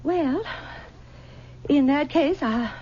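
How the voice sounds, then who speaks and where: A clingy older mother, high voice, coaxing and stammering, dull old radio sound. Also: expressive, dark tone, full character voice. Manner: coaxing and possessive, halting with stammers. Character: clinging, possessive aging mother. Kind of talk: 1970s radio drama acting. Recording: dull 1970s radio broadcast.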